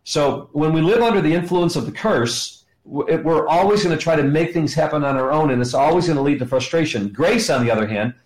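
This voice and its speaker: A middle-aged or older man, a podcast guest, explaining scripture conversationally with emphatic pauses and rhetorical questions in a roomy recording. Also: on the high side, a little mellow. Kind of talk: teaching in conversation, quoting scripture. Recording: roomy, echoing sound.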